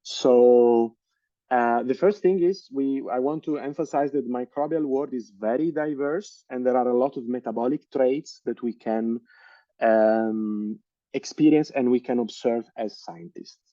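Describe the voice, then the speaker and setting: A man's voice: medium pitch, measured pace, warm tone, dry recording, lo-fi sound. A man in his thirties with an Italian accent, giving an online science lecture with many hesitant fillers and restarts. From Italy. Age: 30s.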